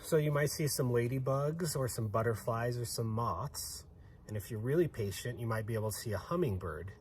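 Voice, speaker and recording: Man explaining plainly, mid-pitched voice. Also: a little fast, slight background noise. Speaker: guest explainer on beekeeping. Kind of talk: informal educational explanation for children.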